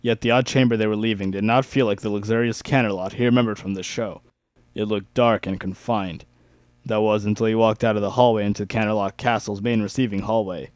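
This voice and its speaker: A man's voice: fairly low pitch, steady tone, fairly quick, no room sound. A young man giving an amateur dramatic reading of a first-person story.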